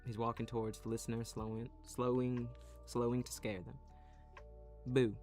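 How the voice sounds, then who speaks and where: A man's voice: mid-range voice, little pitch movement, fairly quick, darkish tone, soft background music. A man streamer reading stage directions from an audio roleplay script.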